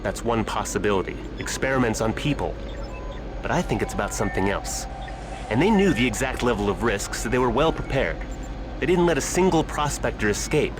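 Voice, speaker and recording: A man's voice: mid-range voice, very animated, brisk, lightly acted. An actor playing a weary, cynical scientist in a video game, resigned and ironic as he explains. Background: music.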